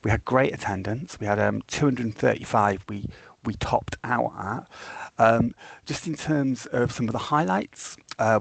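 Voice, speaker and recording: A man's voice: mid-range voice, creaky, no echo. A man hosting an online meeting, giving an update with many hesitant fillers. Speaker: meeting host.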